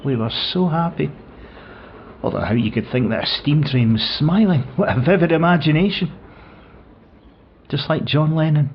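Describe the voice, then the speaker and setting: An actor playing an older widowed man in an audio play, grieving and tender, speaking in a hushed, halting, reflective way with a husky voice. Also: mid-range voice, muffled recording. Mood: wistful, tender. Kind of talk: audio play dramatic monologue.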